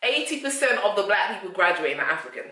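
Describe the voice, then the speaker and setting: A British woman chatting casually and telling stories as a vlog co-host, in an animated, chatty way. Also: mid-range voice, fast talker. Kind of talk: casual chat. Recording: roomy echo, distant microphone.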